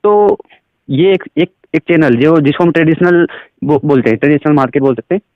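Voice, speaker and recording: Male direct-selling recruiter, Indian accent, slightly raised pitch, explanatory and question-led, on a lo-fi phone recording. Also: a little fast, no echo. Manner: explanatory, question-led, mixes in English phrases. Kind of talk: sales pitch on a phone call. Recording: dull lo-fi recorded phone call.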